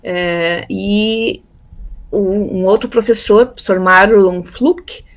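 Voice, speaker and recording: A woman in her fifties from Brazil, telling her life story in a relaxed interview, pausing often with fillers and repeated words. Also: medium pitch. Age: 50s. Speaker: interviewee, theology graduate. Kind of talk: casual conversational interview.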